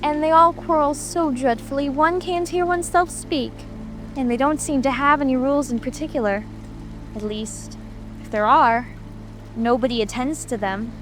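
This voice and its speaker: A woman's voice: sits high, normal speed, faint music behind, close to an everyday voice. A woman playing an earnest, curious and prim young girl in a dramatic audiobook reading. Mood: complaining. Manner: prim, plaintive.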